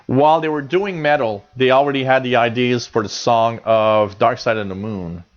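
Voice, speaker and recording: A man's voice: medium pitch, moderate pace, highs cut off. A Canadian man in his forties hosting a live radio show, chatting casually and reading out chat messages with lots of fillers and restarts.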